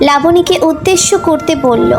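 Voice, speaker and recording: A woman's voice: high voice, normal speed, music in the background. A woman reading scripted narration for a romantic audio story.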